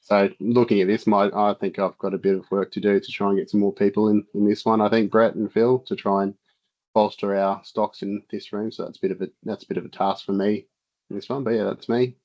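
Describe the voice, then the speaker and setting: A man's voice: lowish voice, flat delivery, fairly quick, a little mellow, muffled recording. A man introducing himself at a meeting, casual and jokey with a rambling flow. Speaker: industry engagement staff. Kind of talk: self-introduction.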